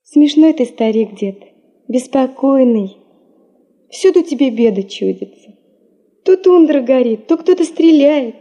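An actress in a radio play playing an affectionate teenage granddaughter who gently teases her grandfather. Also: lively intonation, a little slow, heavily acted. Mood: fond teasing. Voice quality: high, soft. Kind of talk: Russian radio play acting.

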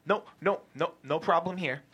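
Casting: male actor as nervous little loser who pleads with thugs, high-pitched and piercing. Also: normal speed, a bit bright, husky. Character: timid, whiny small-time loser guy, pleading with thugs. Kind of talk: script table read.

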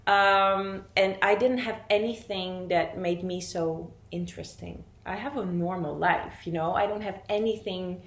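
A woman's voice: fairly low pitch, steady tone, a little slow, hardly any pauses. Brazilian-born woman, thirties, native Portuguese speaker, chatty guest full of fillers, echoey room. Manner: chatty, many fillers and restarts. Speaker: guest, vlogger. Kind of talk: casual interview chat.

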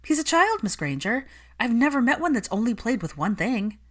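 Woman acting a young nanny witch, chirpy, excitable, perky, raised pitch, swooping intonation, audiobook character. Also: moderate pace. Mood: breezy dismissal. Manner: animated, perky, sing-song with excitement.

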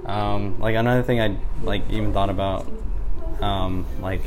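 A man's voice: lowish voice, steady tone, normal speed, a crowd in the background, low-quality recording. A young man in casual chat in a living room, rambling with lots of "like" and stumbling restarts. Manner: rambling, fillers, stumbles, talks with his hands. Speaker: podcast co-host. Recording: raw home recording with background noise.

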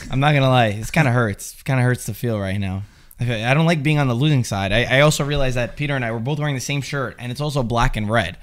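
A man's voice: mid-range voice, fast talker. A man hosting a live podcast from a studio, talking casually and bantering about sport. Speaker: podcast host.

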